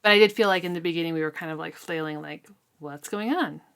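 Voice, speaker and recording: Low, clear-voiced woman chatting about books. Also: fast talker, a bit bright. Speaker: co-host of a book read-along podcast. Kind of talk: casual book discussion.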